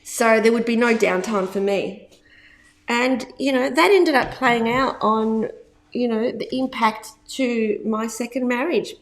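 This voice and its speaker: A woman in her fifties with a slightly high voice talks casually to camera as a business coach. She leans on repeated words for emphasis and laughs easily between points. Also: measured pace.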